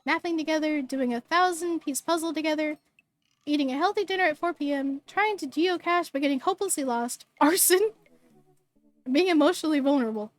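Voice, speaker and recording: A hoarse, bubbly woman streamer reading game text aloud and chatting with viewers, tripping over words now and then. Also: sits high, brisk.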